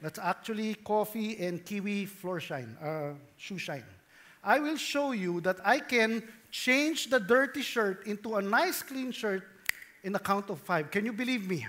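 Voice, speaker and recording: A male pastor with a Filipino accent delivers a sermon in mixed English and Tagalog. His voice is extremely high for a man, with a bright, forceful edge. He is animated and playful, often putting questions to his listeners. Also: unhurried. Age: 30s. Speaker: pastor preaching.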